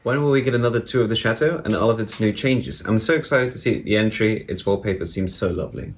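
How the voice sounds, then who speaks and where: A woman's voice: extremely low pitch, a little hiss or hum. A woman in her twenties reading viewer questions aloud to a group. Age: 20s. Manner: reads questions aloud quickly.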